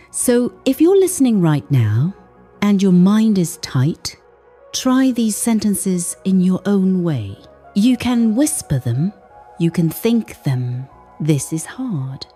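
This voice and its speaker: A woman softly narrating a scripted bedtime meditation in a gentle, soothing, hushed way, in short measured phrases. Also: lowish voice, husky. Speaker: sleep podcast narrator.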